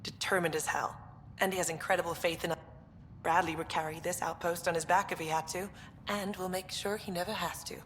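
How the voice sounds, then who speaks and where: A woman's voice: lowish voice, fairly even intonation, a little fast, fairly bright tone, a little hiss or hum, natural voice. A woman playing a confident, upbeat research scientist at a colony in a video game, speaking informally and earnestly. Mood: earnest, proud. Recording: long reverb, music behind.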